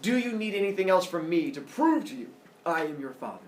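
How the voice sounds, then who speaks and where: A man's voice: high voice, sing-song, fairly quick. A man voicing a mighty, gigantic sun god and solemn father in a myth storytelling, grand and declamatory. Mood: generous, grand. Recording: roomy, distant mic, faint noise.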